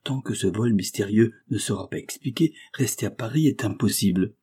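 A man voicing an earnest young gentleman in an audiobook, solicitous and insistent toward a friend. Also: lowish voice, brisk, slightly rough, no room sound, studio quality. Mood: urging. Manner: affectionate, insistent. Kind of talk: audiobook character dialogue.

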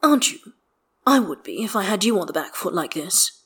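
Woman reader as snappish, guarded male character for story narration; biting, dramatic, mid-pitched. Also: big pitch swings, fairly quick, a bit bright, husky, full character voice. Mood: bitter, hissing. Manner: biting, sardonic. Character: snappish, sardonic, guarded man, dramatic and mistrustful.

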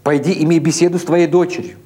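A man voicing an exasperated, scolding wife and mother with sharp, indignant exclamations in an audiobook story. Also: a little high, little pitch movement, quick pace, husky, pitched above the performer's own voice.

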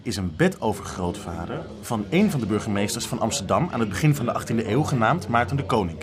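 Excitable art expert, animated pitch. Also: male voice, fairly quick, a crowd in the background. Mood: persuasive. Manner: enthusiastic, persuasive. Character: excitable, ambitious male art expert chasing discovery. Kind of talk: naturalistic Dutch radio drama dialogue.

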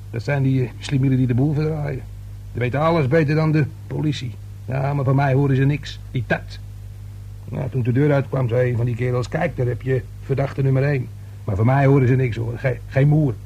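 An actor playing a nosy, grumbling working-class man in a radio drama, talking in a halting, colloquial, self-important way with a husky, gravelly voice. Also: medium pitch, mellow, lo-fi sound.

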